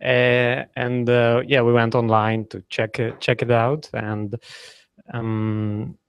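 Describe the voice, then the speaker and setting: A man's voice: mid-range voice, level tone, smooth, no room sound. Hesitant young man telling a family story. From Ukraine. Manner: halting, many fillers and restarts. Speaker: workshop participant.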